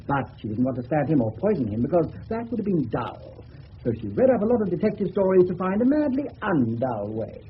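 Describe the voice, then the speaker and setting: An actor with an English accent playing a facetious, mocking police officer in a 1970s British radio drama. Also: male voice, fairly high pitch, big pitch swings, a little fast. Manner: mocking, drily elaborate. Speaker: police detective. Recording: dull old radio broadcast, faint noise.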